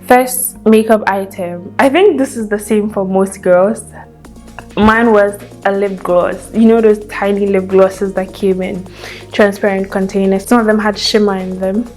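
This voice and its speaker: Slow, easygoing young woman chatting. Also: medium pitch, fairly even intonation, darkish tone, faint music behind. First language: Hausa. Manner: chatty, thinks aloud with hums and trailing asides. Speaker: vlogger. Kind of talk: casual chat.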